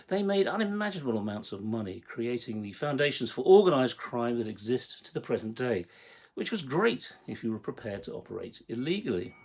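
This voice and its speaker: A man giving a prepared explanatory monologue as a writer and producer, speaking in a measured, articulate way with emphatic phrasing. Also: mid-range voice, husky. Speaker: writer and producer introducing his audio drama series.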